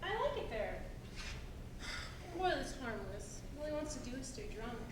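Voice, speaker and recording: Woman acting a curious headstrong young sweetheart, stage play, high voice, insistent, noisy echoing hall. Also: sing-song, normal speed. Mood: light, curious. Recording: very echoey, noisy stage recording from far off.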